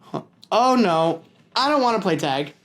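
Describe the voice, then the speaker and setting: A man's voice: high voice, lively intonation, measured pace, fairly bright tone, voice pushed up. A man voicing an anxious, embarrassed young schoolboy in a children's story, flustered and stammering as he pleads. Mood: nervous evasive. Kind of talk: children's storybook read-aloud.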